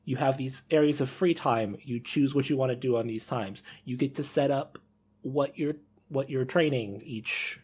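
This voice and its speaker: A man's voice: mid-range voice, moderate pace, a little mellow, slightly rough. A man hosting a casual podcast discussion, rambling and rephrasing as he thinks aloud. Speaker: podcast host.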